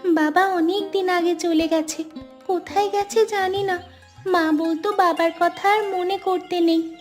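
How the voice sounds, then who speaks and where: A woman's voice: very high voice, steady tone, a little slow, soft background music. A woman playing a poor, brave orphaned village girl in a cartoon drama, earnest and polite. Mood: sad, plain. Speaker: cartoon character voice.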